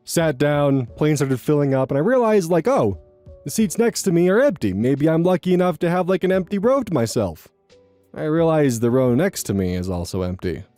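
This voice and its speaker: A man's voice: on the high side, animated, normal speed, warm tone, no echo, soft background music. A young man reading online posts aloud and commenting on them in a rambling, casual way, repeating words and swearing now and then.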